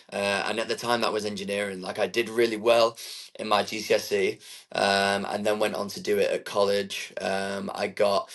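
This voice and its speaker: A man, talking casually as an interview guest, relaxed and rambling with many fillers. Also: lowish voice, gravelly. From England. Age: 20s.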